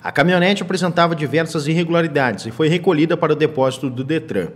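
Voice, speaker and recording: A young man reading a local news bulletin in a steady, formal way in a small studio. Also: a little high, fast. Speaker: news anchor.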